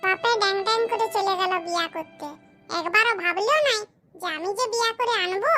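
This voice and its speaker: Performer as a squeaky, grumbling cartoon village boy. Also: fairly quick, bright, forceful tone, soft background music. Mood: self-pitying. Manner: muttering complaints in rustic slang. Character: grumbling, crude, self-pitying village boy. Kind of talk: comedy cartoon monologue.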